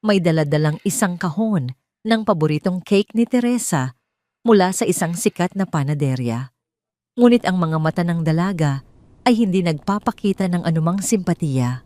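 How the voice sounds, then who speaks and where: A woman's voice: on the low side, lively intonation, normal speed, warm tone, no echo. A woman with a Filipino accent reading a scripted dramatic story as the narrator.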